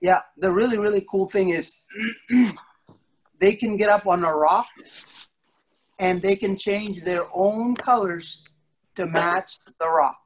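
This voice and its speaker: Slow-paced middle-aged man, playful kids' storyteller. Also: high voice, poor audio. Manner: animated, teasing, with exaggerated surprise and drawn-out words. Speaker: martial arts instructor telling stories to a kids' class. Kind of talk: playful interactive storytelling to young children. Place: indoor studio, on a video call. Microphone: device mic at a short distance on a video call. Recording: video call with background noise and clicks.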